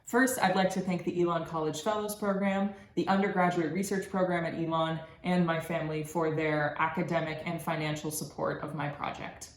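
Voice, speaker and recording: A woman's voice: deep voice, little pitch movement, fairly quick, few pauses. A young woman host giving an earnest, effusive thank-you speech on a podcast. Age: young adult. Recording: roomy echo, faint background noise.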